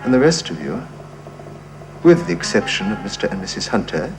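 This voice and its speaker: A soft-spoken, wry war hero. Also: male voice, lowish voice, very animated, fairly quick, low-quality recording. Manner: dry, wry, politely ironic. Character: dry, understated male war veteran hero. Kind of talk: radio drama acting. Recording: music playing underneath.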